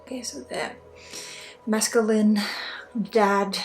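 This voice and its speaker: Creaky Swedish woman guiding ASMR healing. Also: medium pitch, steady tone. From Sweden. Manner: soft-spoken, often dropping into whispers, with pauses. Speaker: ASMR energy healer and spiritual guide. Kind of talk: ASMR guided energy healing. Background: music.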